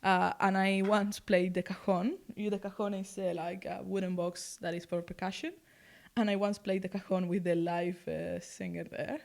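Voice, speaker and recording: A woman's voice: on the low side, level tone, normal speed, gravelly. A young woman from Spain with a Spanish accent, co-hosting a podcast and chatting casually with lots of fillers. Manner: chatty, laughing, many fillers. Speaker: podcast co-host. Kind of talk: casual chat. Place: London.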